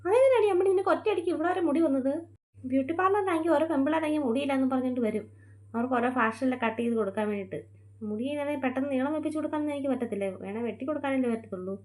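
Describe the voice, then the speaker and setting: A woman's voice: high voice, very fast, a little mellow, lightly acted. A young woman playing a busy mother in a home-made family skit, fussing and scolding. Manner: fussy, nagging, scolding. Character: busy mother who runs a beauty parlour.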